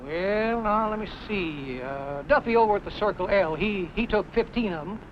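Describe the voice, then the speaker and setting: High-voiced folksy country storekeeper, played by a man. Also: close to an everyday voice. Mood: chatty, musing. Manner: halting, folksy, musing aloud. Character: talkative, folksy country storekeeper, slow to recollect. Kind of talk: old-time western radio drama acting. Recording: muffled, faintly noisy vintage radio recording.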